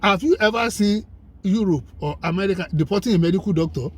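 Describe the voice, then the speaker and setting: A man's voice: sits high, fast talker, husky. A man speaking as a guest in a conversation, emphatic and persuasive, building his points with lists and rhetorical questions. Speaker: guest commentator. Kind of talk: conversational discussion.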